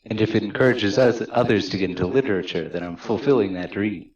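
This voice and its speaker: A man's voice: on the low side, fast talker, a little mellow, dull audio, lightly acted. A man voicing a confident, encouraging schoolgirl club leader in a visual novel game, reading earnestly from the script.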